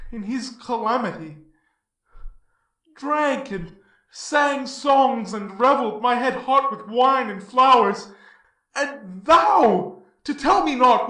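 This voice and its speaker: An actor playing a mighty, impassioned demigod hero in a Greek tragedy, declaiming archaic verse in a grand manner. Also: male voice, very high voice, bright, forceful tone, full character voice. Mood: anguished reproach. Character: mighty heroic demigod, grieved, indignant, then boldly resolute. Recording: roomy echo, distant from mic.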